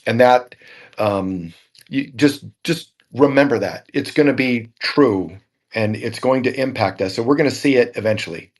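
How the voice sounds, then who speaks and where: A man's voice: mid-range voice, moderate pace. A man hosting a casual tech talk show, talking in a rambling way and often repeating words as he thinks. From Norwegian family background. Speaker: show host.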